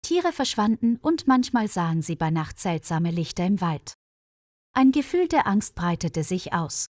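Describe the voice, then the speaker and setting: A woman's voice: lowish voice, sing-song, a little slow, a little mellow, no echo, studio quality. A woman reading a scripted story aloud as a storyteller for language learners.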